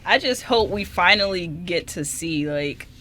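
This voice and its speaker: A woman's voice: fairly low pitch, animated, measured pace, crowd noise. A young woman on a radio show, chatting casually and informally with plenty of "like". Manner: informal, frequent 'like'. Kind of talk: casual chat. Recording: noisy amateur radio show recording.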